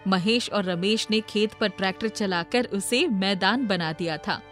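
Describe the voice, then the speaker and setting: A woman narrates a scripted moral tale. Her voice sits at a middle pitch, with music playing behind her. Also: unhurried, fairly bright tone.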